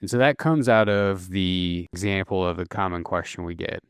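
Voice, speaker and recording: A man hosting a solo podcast, explaining at a relaxed pace with casual fillers and self-corrections. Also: low voice, moderate pace, clear, resonant tone, dry recording, clean studio sound. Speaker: podcast host.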